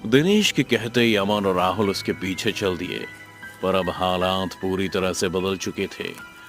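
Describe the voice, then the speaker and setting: A man's voice: deep voice, a little slow, a bit bright. A man narrating a scripted horror story as a storyteller. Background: music.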